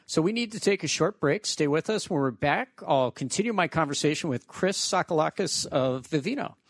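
A man's voice: a little high, animated, brisk, no echo, studio quality. A man hosting a business radio interview, asking conversational questions and reading break announcements. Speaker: radio show host, venture investor.

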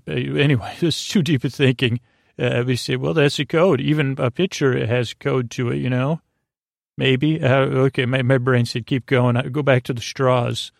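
A man hosting a sleep podcast, giving a meandering, halting bedtime monologue that drifts off into absurd tangents, with a creaky voice. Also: mid-range voice, dry recording, clean studio sound. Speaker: podcast host. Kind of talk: rambling, low-stakes bedtime monologue meant to lull listeners to sleep.

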